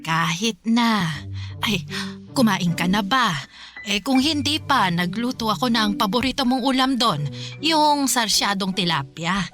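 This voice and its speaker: A woman's voice: a little high, lively intonation, a little slow, over music. Doting, fussing Filipino mother. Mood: fussing, affectionate. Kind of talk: radio drama acting.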